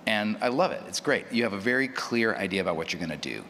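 Guy with a lowish voice talking on a producer panel, big echoey room, noisy. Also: animated, fast talker, sharp tone. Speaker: audio drama producer on a panel. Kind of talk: panel discussion, anecdotal explaining. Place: live convention panel in a hall. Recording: echoey hall, clear ambient noise.

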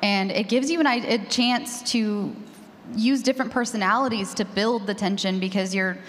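A woman's voice: mid-range voice, moderate pace. A woman speaking informally on a panel as a horror fiction podcaster. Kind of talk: casual panel discussion. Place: large echoey room at a convention panel. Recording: echoey hall panel recording.

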